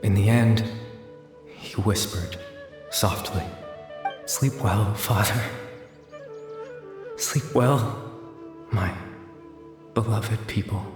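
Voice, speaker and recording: A man voicing a solemn, mysterious storyteller who recites slowly and poetically in a video game. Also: on the low side, over music. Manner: slow, hushed, poetic recitation. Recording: echoey reverberant voice.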